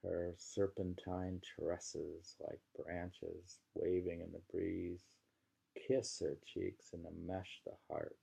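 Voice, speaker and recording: A man's voice: low voice, level tone, slow pace, gravelly, dark tone, slightly rough. A man reading poems aloud and chatting casually about them, with a reflective, savoring delivery. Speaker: poetry reader. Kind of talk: poetry reading with casual commentary.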